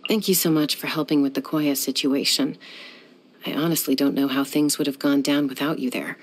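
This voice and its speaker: A woman's voice: low-pitched, steady tone, fairly quick, husky, low-quality recording. A young woman voicing a self-assured, dryly teasing gunslinger who flies and settles disputes, in video game voice lines. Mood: cool. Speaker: game character.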